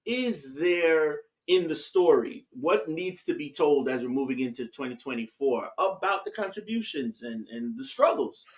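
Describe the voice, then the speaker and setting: Higher-voiced male radio interviewer. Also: animated, normal speed, hardly any pauses. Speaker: interview host.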